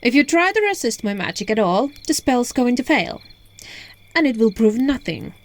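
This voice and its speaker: A woman's voice: on the high side, sing-song, moderate pace. A woman voicing an alluring, playful witch in an ASMR roleplay, teasing and coaxing the listener, recorded on a phone. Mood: earnest, coaxing. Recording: phone recording with music and night crickets.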